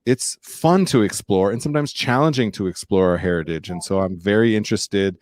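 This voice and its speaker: A man's voice: lowish voice, brisk, a little mellow, no room sound. A man hosting a podcast interview, talking casually with energy and a shifting pace. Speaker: podcast host.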